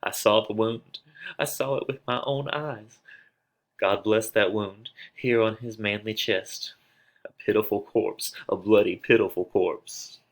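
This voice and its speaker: A man's voice: lowish voice, steady tone, measured pace. A man voicing a distraught older female nurse who wails and laments in a dramatic reading of a tragedy. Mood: grieving. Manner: wailing, repetitive lamenting.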